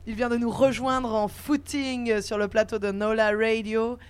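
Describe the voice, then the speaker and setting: A woman's voice: on the high side, level tone, measured pace, smooth. A woman hosting a live radio interview in front of an audience. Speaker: radio host.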